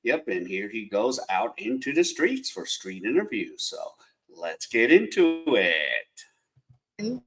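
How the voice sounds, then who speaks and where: A man's voice: fairly low pitch, slow pace. A man hosting a reaction video, talking casually with lots of fillers and rambling asides. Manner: rambling, filler-heavy, animated.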